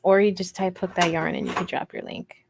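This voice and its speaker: A woman with a soft voice, hosting a casual craft livestream in a rambling, playful, self-deprecating way as she answers viewer comments. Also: fairly low pitch, little pitch movement, a little fast, vocal fry, noisy background. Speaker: host of a crochet livestream.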